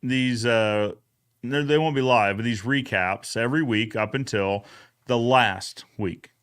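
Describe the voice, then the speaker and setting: Smooth-voiced man chatting as a host. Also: medium pitch, unhurried, a bit bright. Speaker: host of a recap show. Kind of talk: casual live podcast chat.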